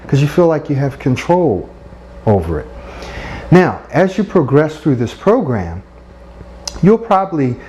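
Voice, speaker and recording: A middle-aged man coaching listeners on speaking, earnest and encouraging, often checking in with a quick "right?". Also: mid-range voice, warm tone, muffled recording, a little hiss or hum. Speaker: speech coach. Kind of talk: instructional talk.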